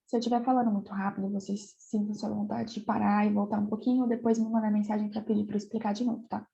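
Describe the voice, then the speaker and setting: A woman teaching a geography class online, slightly hoarse from a cold, hesitating and repeating words as she explains. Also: medium pitch, flat delivery, fast, warm tone, lo-fi sound.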